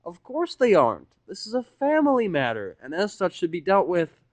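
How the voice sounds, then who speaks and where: A man voicing a cold, menacing rich businessman stallion in a fantasy audio drama, with smooth, formal, businesslike speech. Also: high-pitched, huge pitch swings, moderate pace, no room sound, voice pushed up, exaggerated voice. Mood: cold menace.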